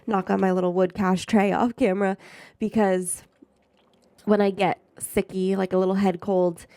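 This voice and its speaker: A woman in her mid-twenties, stuffed up with a head cold, chatting warmly to camera and thanking her viewers at length. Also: mid-range voice, little pitch movement, moderate pace. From Maine, US. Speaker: budgeting YouTuber. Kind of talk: casual chat to camera.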